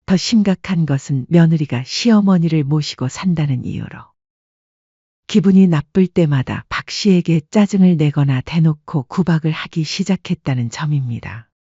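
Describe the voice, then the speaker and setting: A woman narrating a scripted story with a soft, husky voice. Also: low voice, expressive, normal speed, no echo, highs cut off.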